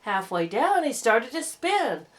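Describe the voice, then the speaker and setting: A woman's voice: on the high side, very animated, slow pace. A woman voicing a self-important, talkative owl in a children's story, pompous and long-winded. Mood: pompous, rambling.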